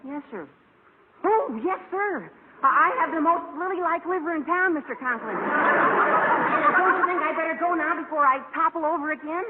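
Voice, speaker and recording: A man playing an eager, scheming teenage schoolboy with excitable earnestness in a vintage radio sitcom. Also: very high-pitched, moderate pace, a loud crowd. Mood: flustered. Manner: excitable, gee-whiz earnestness. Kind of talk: 1940s-50s radio sitcom acting. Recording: noisy, tinny vintage radio recording.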